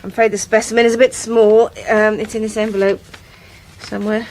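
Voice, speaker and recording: An actress with a British accent playing a determined, curious woman with a brisk, coaxing manner in a radio drama. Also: medium pitch, level tone, darkish tone, a little hiss or hum, lightly acted, poor audio. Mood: polite, bluffing. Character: determined, curious, bossy amateur sleuth woman named Jen.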